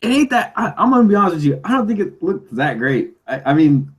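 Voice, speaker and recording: A man's voice: sits high, sing-song, fast talker, slightly rough. A man on a podcast panel chatting casually and emphatically, often repeating himself for stress. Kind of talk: casual chat about video games.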